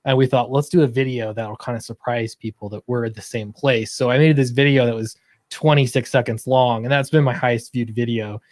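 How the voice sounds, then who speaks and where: Utah guy, comic creator guest just chatting, medium pitch, dry audio. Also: steady tone, brisk, a little mellow, dry recording. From Utah, United States. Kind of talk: casual chat.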